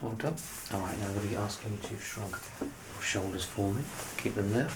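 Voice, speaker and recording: A man in an ASMR medical roleplay, playing a doctor who gives gentle, reassuring, soft instructions. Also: fairly low pitch, fairly quick, very noisy.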